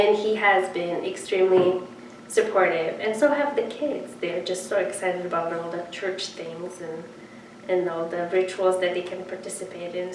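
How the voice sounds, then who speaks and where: A woman in her thirties from Romania, introducing herself as a seminarian in an earnest, reflective way with frequent pauses. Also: on the low side, recorded from a distance, slight background noise. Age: 30s. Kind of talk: personal introduction. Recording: echoey room, voice from off-mic.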